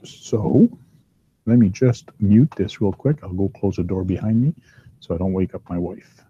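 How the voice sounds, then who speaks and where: Low, dark-voiced man rambling at his bench. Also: moderate pace, muffled recording, a little hiss or hum. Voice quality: big voice. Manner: rambling, with many ums and uhs. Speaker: host. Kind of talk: casual chat while working at a hobby bench. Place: downstairs workbench at home.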